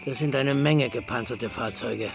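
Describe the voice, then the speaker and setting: An actor playing a fussy, methodical retired explosives expert in a German crime radio drama, speaking calmly and precisely. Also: male voice, medium pitch, normal speed, vocal fry, soft background music, natural voice. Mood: calm, informative.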